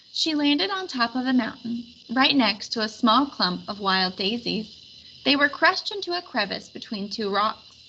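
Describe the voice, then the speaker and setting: A woman reading a children's picture book aloud as an expressive narrator. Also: on the high side, muffled recording, slight background noise. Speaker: storybook reader.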